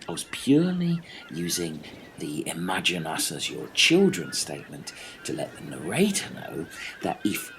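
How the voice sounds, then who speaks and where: A man's voice: sits low, wildly swooping pitch, normal speed, a bit bright, a little hiss or hum, heavily acted, rough recording. A man playing an exasperated, sweary castaway in a comic radio play.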